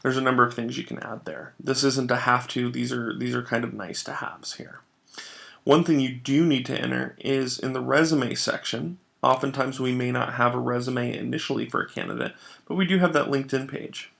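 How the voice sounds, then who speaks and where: A man narrating an instructional software tutorial, explaining things step by step in an informal way. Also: medium pitch, steady tone, moderate pace, gravelly. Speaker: software trainer.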